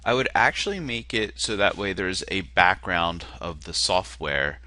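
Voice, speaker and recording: Nasal low-voiced man talking through a page. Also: lively intonation, measured pace, bright, forceful tone. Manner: self-deprecating, hesitant with many fillers. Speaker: marketer critiquing a landing page. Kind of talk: casual screen-share walkthrough.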